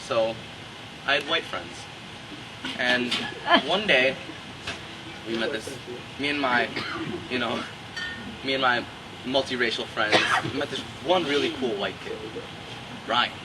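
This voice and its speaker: A man from North America telling stand-up comedy stories in an animated way, acting out dialogue as he goes. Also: mid-range voice, a little slow, long pauses. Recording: very noisy live recording from far off.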